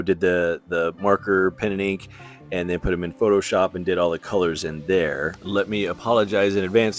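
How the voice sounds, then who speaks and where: A middle-aged man giving a casual, rambling commentary on artwork in an informal, halting, self-deprecating way. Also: low voice. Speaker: artist showing his portfolio. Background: background music.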